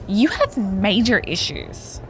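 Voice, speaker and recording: A woman's voice: mid-range voice, huge pitch swings, takes it slowly, slight background noise, full character voice, low-quality recording. A woman playing a brash, mocking young pro wrestler with an Australian accent in a video game cutscene. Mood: scornful. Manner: blunt, scornful.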